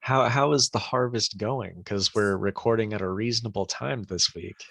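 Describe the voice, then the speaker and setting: A man's voice: fairly low pitch, normal speed, vocal fry, fairly bright tone, breathy edge, no room sound. A man co-hosting a podcast, joking easily in casual chat while just over a cold. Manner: joking, easygoing, often laughing. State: recovering from a cold. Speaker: podcast co-host.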